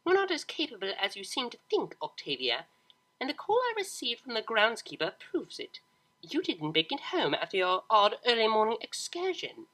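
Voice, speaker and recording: Casting: woman as a bossy older storybook mare, condescending and cutting, bright edge, lively swings of pitch. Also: normal speed, heavily acted, low-quality recording. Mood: scornful. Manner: scornful, stern, condescending. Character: domineering, disapproving older pony mare, overbearing mother.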